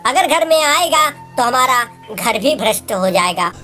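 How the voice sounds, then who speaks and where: A man's voice: very high-pitched, lively intonation, measured pace, sharp tone, soft background music, voice pushed up, heavily acted. A man voicing an officious, snobbish servant and gatekeeper in a cartoon story. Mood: reporting, disdainful. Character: officious, snobbish servant guarding rich master's gate.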